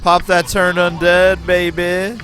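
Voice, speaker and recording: An American man streaming a game, giving excitable, profane play-by-play and chatting with viewers. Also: high-pitched, slow. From United States. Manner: excitable, profane, talks to chat. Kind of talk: casual gameplay commentary.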